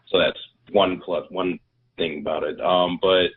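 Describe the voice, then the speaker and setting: A man with a very low, husky voice gives blunt, sarcastic takes in casual podcast chat. He sounds as if he is coming through a tinny phone line. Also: steady tone, unhurried. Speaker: podcast co-host.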